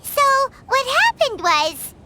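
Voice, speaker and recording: Tiny squeaky childlike fairy companion. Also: female voice, very animated, slow pace, very noisy, heavily acted. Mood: eager, curious. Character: small childlike floating fairy companion, chatty, eager. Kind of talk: video game character acting. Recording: game music behind the voice.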